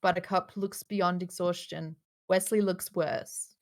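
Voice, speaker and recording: A woman's voice: on the low side, steady tone, unhurried. A woman reading out screenplay stage directions at a casual live table read, with occasional offhand asides.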